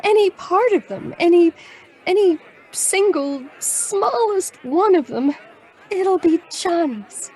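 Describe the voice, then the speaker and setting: An actress playing a fragile, lovelorn client in a noir audio drama, speaking in a halting, emotional, pleading way. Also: female voice, extremely high pitch, lively intonation, darkish tone, some chatter behind, exaggerated voice, poor audio. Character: fragile, lovelorn, sentimental woman client in distress.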